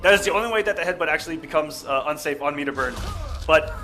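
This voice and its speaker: Bright, high-pitched male fight caster. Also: fast talker, soft background music. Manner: excitable, technical breakdowns. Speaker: esports commentator. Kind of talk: live play-by-play commentary.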